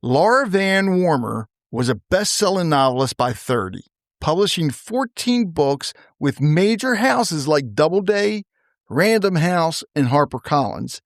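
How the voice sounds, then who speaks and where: A man's voice: fairly high pitch, sing-song, unhurried, no echo, clean studio sound. A man hosting a podcast, upbeat and laughing often, first reading a scripted introduction and then chatting casually. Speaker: podcast host.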